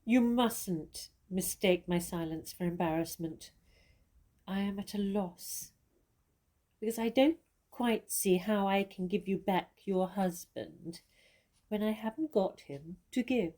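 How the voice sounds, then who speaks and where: An actress playing a famous, worldly stage star who speaks in a measured, composed and condescending way. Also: female voice, mid-range voice, warm tone. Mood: cool, composed. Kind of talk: stage-style dramatic dialogue.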